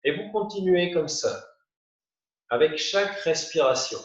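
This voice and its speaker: A man teaching yoga nidra, guiding a meditation in short, unhurried phrases with pauses. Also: a little high, expressive. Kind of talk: guided meditation, spoken instructions. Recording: muffled, roomy, distant from the mic.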